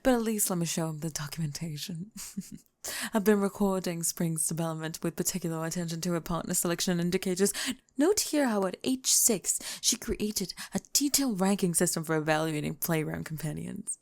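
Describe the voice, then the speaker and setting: A woman playing an old grandmother scientist in an ASMR roleplay, creaky, precise and matter-of-fact, full of intrusive certainty. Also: lowish voice, brisk, a little mellow, voice pushed down, lightly acted. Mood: assured, insistent.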